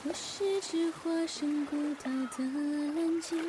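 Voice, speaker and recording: A woman singing a gentle, lullaby-like song in an audio drama. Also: very high voice, fairly even intonation, slow, noisy background. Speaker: singer. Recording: singing with prominent musical backing. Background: loud music accompaniment.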